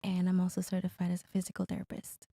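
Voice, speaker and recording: Young woman guest, hesitant and easygoing, warm mellow voice, dry close sound. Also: on the low side, completely flat delivery, fast talker, creaky, no room sound. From born in Brazil, raised in Guyana and Miami. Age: 20s. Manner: hesitant, starts answers with "um", laughs lightly. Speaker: podcast guest. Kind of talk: casual chat.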